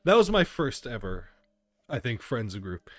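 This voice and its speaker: A young man in casual, animated, slangy chat, swearing freely as he tells stories. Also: mid-range voice, unhurried, faint music behind. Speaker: podcast host.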